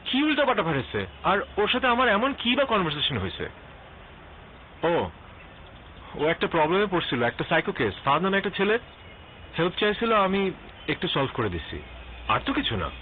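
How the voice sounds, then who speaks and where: Fed-up young bangladeshi guy in tv drama, defensive, fast talker, thin phone-like audio. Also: high voice, big pitch swings. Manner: exasperated, defensive, coaxing. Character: exasperated young tutor, defensive yet coaxing boyfriend. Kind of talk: TV drama acting. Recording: thin phone-like sound, faint noise.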